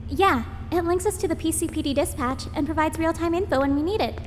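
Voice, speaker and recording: A woman's voice: very high-pitched, fast, a little hiss or hum. An actress in an audio drama playing an eager, naive young rookie policewoman, bubbly and chatty, in a reverberant recording. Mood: helpful, bright. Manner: bubbly, chatty, wide-eyed.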